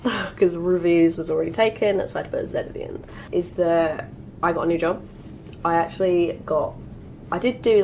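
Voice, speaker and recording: A woman's voice: fairly low pitch, a little mellow, slight background noise, low-quality recording. A woman in her twenties from the UK chatting through a makeup routine while getting over a cold, with lots of "like" and "um" fillers. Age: 20s. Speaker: beauty vlogger. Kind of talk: get ready with me chat.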